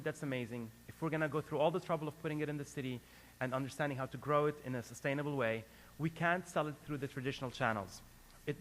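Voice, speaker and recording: A man's voice: fairly high pitch, fairly bright tone, slight background noise. A man who grew up in Lebanon, a company founder giving a business presentation to an audience, earnest and hesitant, with many fillers and restarts.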